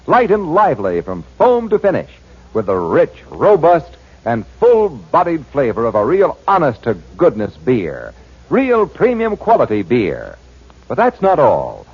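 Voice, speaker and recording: A man's voice: a little high, slightly rough. A man announcing in 1940s radio style, reading sponsor copy and story recaps as scripted narration. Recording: dull 1940s radio recording with music.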